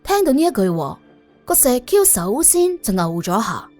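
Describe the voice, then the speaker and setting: 一個女人用粵語演繹有聲偵探小說，照稿講故事，做旁白。. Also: female voice, fairly high pitch, big pitch swings, normal speed, darkish tone, soft background music. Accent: Cantonese. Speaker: audiobook narrator. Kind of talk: expressive scripted detective-story narration.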